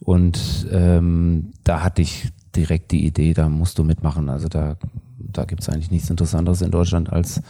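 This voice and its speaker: A man from the Münsterland, an architect guest in an interview, talking in a halting way with many fillers and restarts. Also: low voice, level tone, mellow. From Münsterland, Germany. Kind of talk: casual interview talk.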